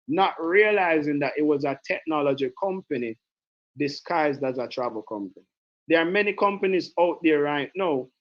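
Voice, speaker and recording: Brief: Jamaican man, fairly high voice, rambling trainer on a muffled call. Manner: chatty, repeats himself, goes off on tangents. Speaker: sales team leader giving a training. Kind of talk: casual online training call.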